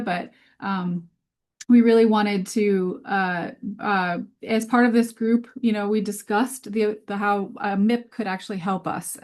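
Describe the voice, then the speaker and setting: A woman's voice: medium pitch, a little mellow. Woman giving an informal science webinar. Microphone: video call.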